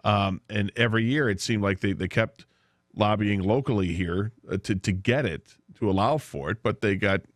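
A man's voice: on the low side, moderate pace, no echo. A man with an American accent co-hosting a sports radio show in casual discussion on a studio microphone. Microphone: studio broadcast microphone.

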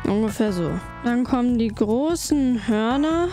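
A woman narrates a drawing tutorial one step at a time, with a mock-earnest, deadpan delivery. She speaks very slowly in a warm, mellow tone that sits a little high. Faint music plays underneath.

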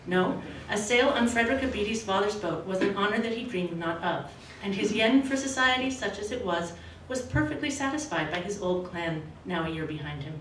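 A woman in her forties, a novelist, reading her prose in a measured, expressive way at a podium, then answering questions in a halting, self-correcting manner. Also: mid-range voice. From grew up in Australia, Canada and the States. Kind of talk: literary reading, then casual Q&A. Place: small event room with a red curtain, indoors. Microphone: podium microphone, recorded from a far camera. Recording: echoey room, voice from a distance, faint hiss.

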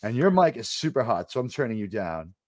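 A loud, profane man hosting a live watch party from his home room. His voice is dry and close on the desk mic, with a middling pitch and a joking, blunt way of talking. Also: sing-song, fairly quick, no room sound. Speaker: streamer host. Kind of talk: casual watch-party chat.

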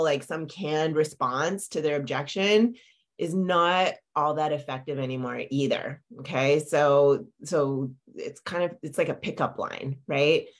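A woman in her forties, a business coach, talking live over a video call from her home office, a little congested with a lingering cough, chatty and full of fillers and tag questions. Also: low voice. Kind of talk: live-streamed coaching talk. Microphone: video call webcam.

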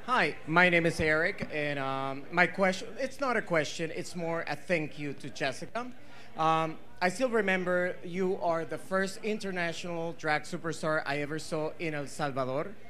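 A man's voice: fairly high pitch, normal speed, sharp tone. A man in the audience giving an earnest, slightly hesitant thank-you. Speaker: audience member.

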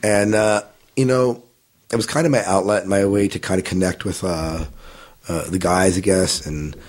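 A man in his thirties with a low, husky voice talks through his story as a podcast guest. He rambles easily, circling back with fillers and restarts. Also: steady tone, moderate pace, a little mellow. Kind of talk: casual interview answers.

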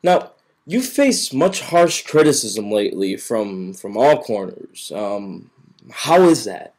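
A man's voice: fairly low pitch, very animated, slow, a little mellow. A young man playing a fake-polite, flustered talk-show interviewer in a home webcam sketch. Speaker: mock interviewer. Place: living room at home.

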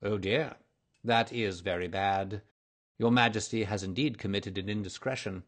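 A cool, incisive gentleman detective from a storybook, as a male narrator puts him on. His male voice is husky, and he talks drily and briskly, with languid superiority and a touch of impatience. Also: lowish voice, fairly even intonation, lightly acted. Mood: probing.

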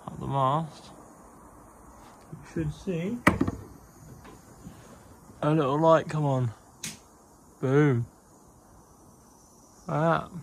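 A young man casually narrating electrical work on his small sailboat outdoors, relaxed and rambling with ums and self-corrections, recorded on an action camera. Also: on the high side, drawn-out words, vocal fry, long pauses, a little hiss or hum. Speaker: sailing vlogger. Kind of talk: casual how-to narration while working.

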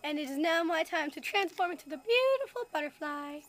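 A woman's voice: extremely high pitch, animated, fairly quick. A woman voicing a self-important magical fairy princess in an improvised puppet show. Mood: proud. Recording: noisy amateur camera recording in store.

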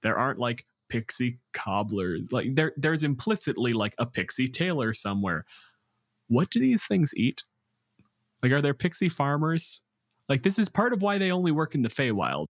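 A man talking casually as a podcast co-host, explaining game creatures in an animated way. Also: mid-range voice, normal speed, no room sound, studio quality. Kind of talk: casual chat about tabletop game monsters.

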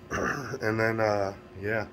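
A raspy, hoarse American man talks casually into a phone held close, his low voice worn down by a cold. His nose is stuffed up, and his loose, rambling talk is broken by little chuckles. Faint noise sits behind him. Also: fairly even intonation, drawn-out words, fairly bright tone. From United States. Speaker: vlogger. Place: small room indoors. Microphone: phone camera held close.

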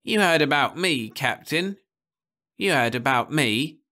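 A man reading aloud, voicing a hasty, excitable old male ex-drunk with a high, fidgety voice who begs to prove himself. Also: measured pace. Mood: eager pleading. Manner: hasty and pleading. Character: jittery old male ex-drunk computing whiz.

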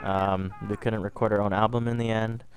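A man's voice: on the low side, flat delivery, a little fast, noisy background. A young man from Canada, a little sick, telling a story casually over gameplay, rambling and restarting often. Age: young adult. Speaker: gaming vlogger.

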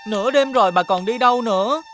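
A woman's voice: a little high, quick pace, a bit bright, faint music behind, natural voice. A woman voicing an anxious, devoted young village boy with a Southern Vietnamese accent in a cartoon fairy tale. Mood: concerned. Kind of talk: animated fairy-tale dubbing.

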